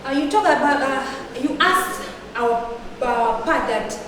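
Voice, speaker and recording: A woman's voice: sits high, lively intonation, slow pace, crowd noise. A teenage girl from Tanzania, a Swahili speaker, giving an earnest, argumentative debate speech full of rhetorical questions. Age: teens. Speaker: student debater. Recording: echoey hall, picked up from far off.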